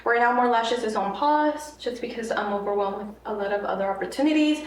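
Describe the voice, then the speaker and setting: A woman's voice: a little high, fairly quick. A young woman born in Mexico, a native Spanish speaker, chatting as a podcast guest, rambling with many fillers and restarts. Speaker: podcast guest, content creator. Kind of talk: casual interview conversation. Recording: echoey room, mic at a distance, faint noise.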